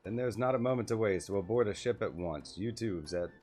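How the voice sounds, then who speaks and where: Guy doing a fed-up adventurer from a game, deep voice, brisk and bossy, soft music under it. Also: slightly rough. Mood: determined. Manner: brisk, scolding, practical. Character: brisk, exasperated adventurer companion, urgent and no-nonsense. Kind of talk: video game dialogue read aloud.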